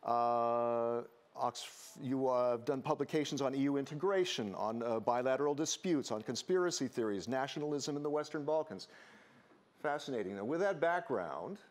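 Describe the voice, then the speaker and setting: A man with an American accent moderating a panel discussion, upbeat and halting with many fillers. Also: mid-range voice.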